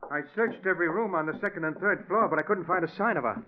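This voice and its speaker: An actor playing a skeptical, anguished middle-aged father in a 1940s radio suspense drama, with theatrical, emphatic delivery that turns halting when he is shaken. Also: high voice, a little hiss or hum, natural voice. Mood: anxious. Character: skeptical, anguished father, stubborn and easily agitated. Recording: muffled vintage 1940s radio recording.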